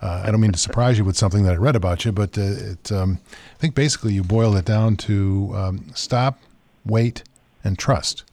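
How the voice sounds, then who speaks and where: A man's voice: lowish voice, a little fast, a little mellow, dry recording. A man hosting a religious radio program who asks questions in a conversational, curious way, with friendly asides. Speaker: radio host. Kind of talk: radio interview.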